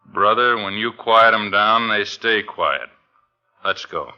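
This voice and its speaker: An actor playing a hard-boiled, dryly sardonic police detective in 1950s radio crime drama. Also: male voice, fairly low pitch, expressive, takes it slowly. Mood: sardonic, accusing. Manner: dry, sardonic. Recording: muffled old radio recording.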